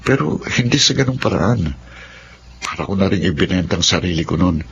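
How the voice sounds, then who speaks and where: A man's voice: deep voice, expressive, fairly quick, gravelly, slight background noise. A man with a Filipino accent acting an earnest, polite young working student in a radio drama. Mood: polite, firm. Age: young adult. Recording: muffled radio drama, music bed underneath.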